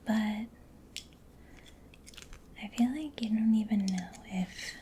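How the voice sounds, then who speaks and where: A woman doing a soft-spoken ASMR roleplay, mostly whispering in a breathy, gentle, soothing murmur close to the microphone. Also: medium pitch, normal speed, a little hiss or hum. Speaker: ASMR performer.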